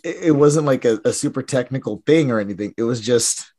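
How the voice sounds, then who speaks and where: A man co-hosting a podcast in casual chat, his voice raspy from a weekend of yelling, rambling and trailing off into mumbles. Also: medium pitch, a little fast. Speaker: podcast co-host.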